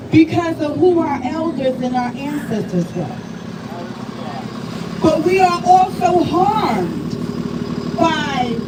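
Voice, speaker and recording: A woman community organizer giving an emphatic, rousing speech at an outdoor rally. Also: sits high. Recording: distant mic with loud street noise.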